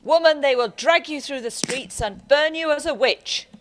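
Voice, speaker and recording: An authoritarian prince of the church, played by a woman in a radio play. The voice sits fairly high, and the delivery is severe, formal and full of admonishment. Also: normal speed, a little hiss or hum, close to an everyday voice. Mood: grave warning. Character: stern, authoritarian high churchman, warning and judgemental.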